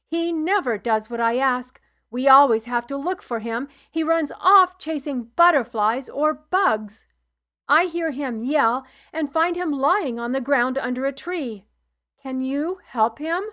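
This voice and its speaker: A woman voicing a stout, red-faced man, an anxious and stern father who speaks loudly, pleading and scolding, in a storybook reading. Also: high-pitched, a little slow, voice pushed up.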